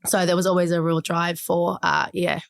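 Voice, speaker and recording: A woman with a fairly low voice speaks as a podcast guest, recalling her early life and career. She grew up in Chile and then Australia, and talks in a thoughtful, measured way with frequent fillers. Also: flat delivery, vocal fry. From Chile, raised in Australia. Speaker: podcast guest, sales director. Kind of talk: conversational interview.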